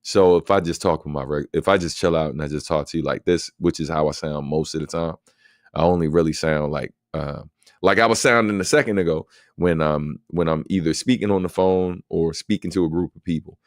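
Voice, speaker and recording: A man with a deep voice hosting a casual livestream Q&A, answering viewer questions in a relaxed, talkative way with plenty of fillers. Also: fairly quick, dry recording, clean studio sound. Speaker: host.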